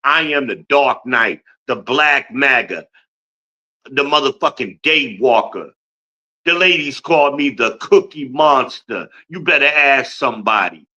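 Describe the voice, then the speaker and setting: Man, 50s, podcast host, blunt and boastful, cursing freely; bright, forceful voice, dull recording. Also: mid-range voice, expressive, a little slow. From Newark, New Jersey. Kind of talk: profane livestream rant.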